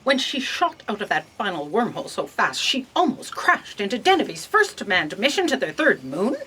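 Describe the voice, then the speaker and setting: Actress as an imperious, husky, animated space boss. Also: female voice, mid-range voice, normal speed, heavily acted, poor audio. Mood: dry, disapproving. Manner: commanding, exasperated. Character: imperious, impatient female space boss, editor of destiny. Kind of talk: scripted sci-fi audio comedy acting.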